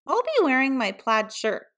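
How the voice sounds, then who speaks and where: A woman's voice: high voice, huge pitch swings, moderate pace, sharp tone, pitched above the performer's own voice, exaggerated voice. A woman voicing a stubborn, pouty young girl in a children's storybook. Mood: defiant. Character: stubborn, pouty young girl who knows her mind. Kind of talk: children's storybook read-aloud.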